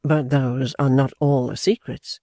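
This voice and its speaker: A man reading an audiobook, voicing an earnest, formal gentleman who speaks in a measured, reassuring way. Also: medium pitch, steady tone, creaky, no echo, clean studio sound. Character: earnest gentleman, calm, persuasive. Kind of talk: audiobook character voice.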